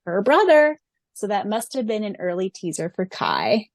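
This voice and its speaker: A woman's voice: mid-range voice, huge pitch swings, moderate pace, darkish tone, dry recording, studio quality. A woman co-hosting a fan podcast who also narrates its audiobook, gushing and giggly as she chats and reads. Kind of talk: casual fan podcast chat.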